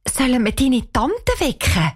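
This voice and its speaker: Woman putting on an anxious, high-voiced Swiss young girl. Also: expressive, unhurried. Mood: desperate. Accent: Swiss German. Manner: worried, pleading. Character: anxious, timid young girl, easily panicked.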